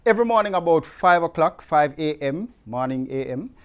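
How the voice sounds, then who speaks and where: A man's voice: a little high, animated, normal speed, clear, resonant tone. A man with a Jamaican accent reading scripture aloud to viewers in an emphatic, conversational way. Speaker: scripture reader. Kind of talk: expressive scripture reading in Patwa, addressed to viewers.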